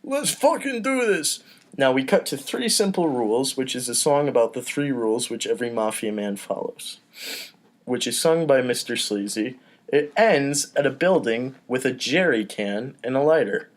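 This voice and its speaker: A man's voice: medium pitch, expressive, moderate pace. A man narrating stage directions in an informal comedy table read. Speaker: podcast performer reading stage directions.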